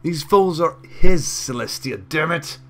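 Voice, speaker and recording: A man's voice: a little high, lively intonation, unhurried, fairly bright tone, pitched above the performer's own voice. A man voicing a hysterical, possessive mare baker who shrieks in manic outbursts. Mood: furious.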